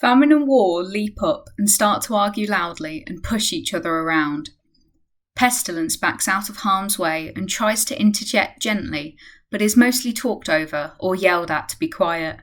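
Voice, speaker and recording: A woman's voice: mid-range voice, normal speed, close to an everyday voice. A woman reading scripted narration for a radio play.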